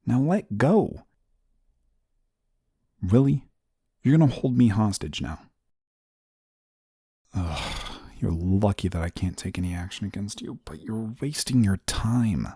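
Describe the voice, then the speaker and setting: A man playing an exasperated, rule-bound but soft-hearted guardian angel in a scripted audio roleplay, scolding in a weary, formal way. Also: fairly low pitch, lively intonation, unhurried, breathy edge, no echo, clean studio sound, heavily acted. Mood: flustered, annoyed. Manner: weary, scolding, formal wording, sighs often.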